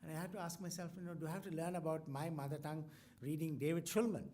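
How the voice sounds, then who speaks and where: A man's voice: a little high, fairly even intonation, fast talker, warm tone. Hesitant Indian man introducing a speaker. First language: Tamil. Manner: hesitant, full of ums and restarts. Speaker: university host introducing a lecturer. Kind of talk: formal speech with many fillers.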